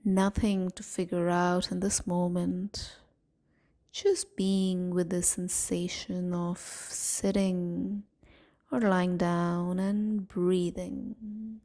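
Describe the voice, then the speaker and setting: A woman leading a guided meditation in a soothing, gentle manner, leaving long pauses between short phrases. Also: on the low side, flat delivery. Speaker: meditation guide and series host.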